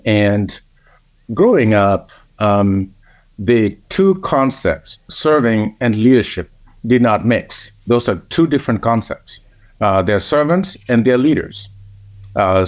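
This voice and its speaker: A middle-aged man from Kenya, speaking as an interview guest in a measured way with frequent pauses. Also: on the low side, no echo. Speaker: interview guest, organization president. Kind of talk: conversational interview.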